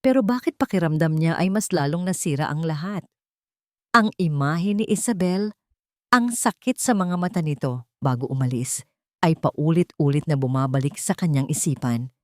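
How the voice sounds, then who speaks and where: Low-voiced woman narrating drama. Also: lively intonation, normal speed, a little mellow, no echo, studio quality. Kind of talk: scripted dramatic audio story narration.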